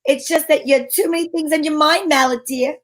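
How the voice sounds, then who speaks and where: A woman playing a sharp-witted, wisecracking private detective in an old-time radio drama, talking in breezy slang. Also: high voice, steady tone, a little fast, lightly acted. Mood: wry, explaining.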